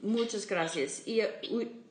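Slow woman learning Spanish. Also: mid-range voice, echoey room, slight background noise. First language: English. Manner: slow, searching for words as a learner. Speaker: podcast host. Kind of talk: casual interview.

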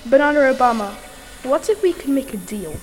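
Scheming snake-haired villain boss played by a woman, highish voice, boastful and theatrical, amateur radio comedy, noisy recording. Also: big pitch swings, a little fast. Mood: persuasive. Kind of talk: amateur comic radio play acting.

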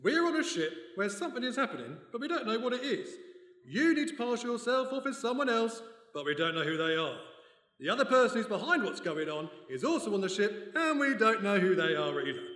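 A man's voice: high voice, very animated, brisk, fairly bright tone. A man playing a bewildered but loyal British son and sidekick in a radio mystery, incredulous and wry. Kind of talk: radio play comedy-mystery acting. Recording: echoey hall, live stage recording.

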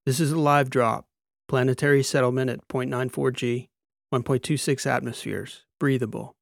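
A man's voice: medium pitch, fairly even intonation, moderate pace, vocal fry, no room sound, studio quality, natural voice. A man voicing a commanding, demanding military examiner in an audiobook, with barked, clipped orders. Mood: brisk, commanding. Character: commanding one-eyed male military examiner, demanding, intense.

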